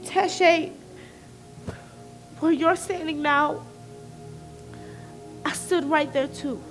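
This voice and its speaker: An earnest, protective teenage girl acted by a woman with a very high voice and slow, emphatic delivery. She implores like a devoted best friend in a stage drama, with faint music behind her. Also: steady tone. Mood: earnest, urging. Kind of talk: emotional stage play acting.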